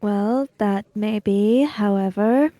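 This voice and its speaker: A woman playing a formal, guarded samurai emissary in a tabletop roleplay, with deliberate and precise wording. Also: mid-range voice, warm tone, dry recording, natural voice. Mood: reserved, measured.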